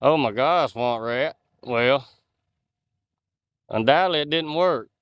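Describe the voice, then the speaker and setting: A man in his forties talks to his viewers as he walks through the woods at night, holding his phone on a gimbal. His voice is smooth and clear and sits at an ordinary pitch, with dry, close sound and no room echo. He rambles in a folksy way, reading out chat names. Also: slow, fairly bright tone. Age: 40s. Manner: chatty, folksy, reads out viewer comments. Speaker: outdoors livestreamer. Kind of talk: casual live-stream chat with viewers. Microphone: phone on a handheld gimbal.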